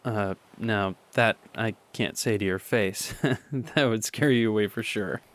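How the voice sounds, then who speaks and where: A young man playing an earnest, nervous teenage boy in love, speaking softly and stammering in a student radio drama. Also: fairly low pitch. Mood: wistful. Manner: halting, stammering, soft.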